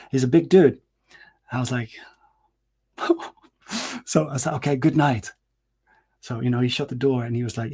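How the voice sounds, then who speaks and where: A man's voice: mid-range voice, breathy edge. A man in his forties telling a personal story in a casual, rambling monologue, swearing, restarting his sentences and acting out what people said. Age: 40s. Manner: rambling, profane, animated, with frequent restarts and fillers.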